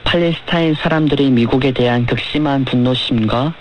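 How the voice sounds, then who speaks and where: A man's voice: medium pitch, a little fast. Man on noisy shortwave radio. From North Korea. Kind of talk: measured radio talk and letter reading.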